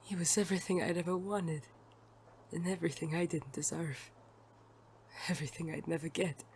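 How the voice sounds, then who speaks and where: A man's voice: on the high side, fairly even intonation, moderate pace, slight background noise, close to an everyday voice. A man playing a hard-boiled, lovestruck but wary male detective in a noir audio drama, blunt and intimate in manner. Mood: tender, brooding. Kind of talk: noir audio drama with first-person narration.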